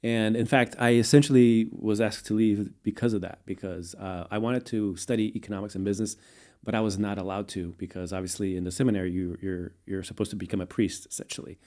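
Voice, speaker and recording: Guest man, low and mellow, measured and halting, raised in Portugal. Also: fairly even intonation. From United States, raised in Portugal. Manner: measured, many fillers and restarts. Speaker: guest, venture capitalist. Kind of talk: casual interview answers.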